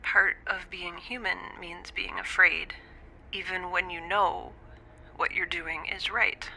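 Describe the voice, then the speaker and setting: A woman in an audio drama speaking a halting, often whispered confession. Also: lowish voice, steady tone, sharp tone, a little hiss or hum, poor audio. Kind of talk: scripted audio drama monologue.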